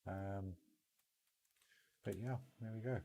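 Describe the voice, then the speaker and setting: A man's voice: fairly low pitch, steady tone, takes it slowly, mellow. A British man hosting a game livestream, chatting informally and rambling as he thinks aloud. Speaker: livestream host. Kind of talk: casual game livestream commentary.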